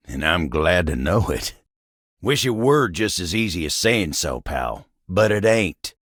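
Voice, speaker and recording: A living wooden table from a video game, gruff, proud and old-fashioned, with a gravelly Southern country drawl. He takes his time and his pitch swings widely as he grumbles, bashful and easily flustered. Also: a bit bright, no room sound, clean studio sound, full character voice. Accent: American Southern or rural Western drawl. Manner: folksy, grumbling, flustered, halting when embarrassed. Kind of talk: video game character voice acting.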